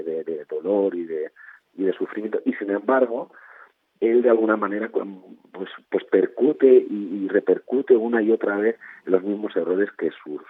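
A man's voice: low voice, slightly rough, no echo. A man in his fifties from Spain, a novelist answering interview questions thoughtfully, often repeating words and ending with tag questions. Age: 50s. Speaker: guest novelist. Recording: tinny phone-like line.

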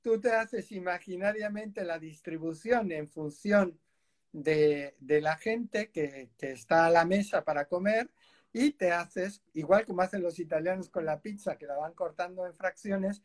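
A man's voice: high-pitched, measured pace. A male radio host in casual conversation, rambling and laughing often as he talks, recorded on a studio microphone.

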